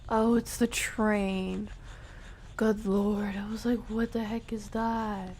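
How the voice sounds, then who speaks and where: Young female game streamer. Relaxed, slow delivery, soft breathy dips, playful self-directed rambling, casual live chat. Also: medium pitch, slight background noise. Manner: playful, rambling, murmuring to herself. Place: her room.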